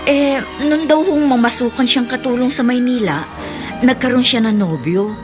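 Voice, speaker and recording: A woman's voice: fairly high pitch, normal speed, music in the background, natural voice. A Filipino woman playing a chatty, respectful teacher in a radio drama, telling things in a conversational, deferential way. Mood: confiding.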